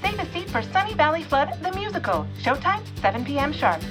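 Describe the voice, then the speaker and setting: High, swooping chirpy news reporter. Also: female voice, big pitch swings, brisk. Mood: bright, showy. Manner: alliterative, over-enunciated announcer delivery. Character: showy, chirpy small-town female news reporter. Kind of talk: mock newscast in audio drama. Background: music.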